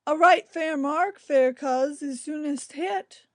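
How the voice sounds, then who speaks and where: A woman playing a concerned, gentle young male cousin in a Shakespearean drama, with an earnest, deliberate delivery. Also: high-pitched. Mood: earnest, pressing.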